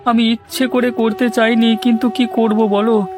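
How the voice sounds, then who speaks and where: A man's voice: extremely high pitch, flat delivery, normal speed, music in the background. A man voicing a distraught, henpecked village servant who whines and pleads in a cartoon. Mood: dejected pleading. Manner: whining, pleading. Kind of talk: Bengali cartoon dialogue.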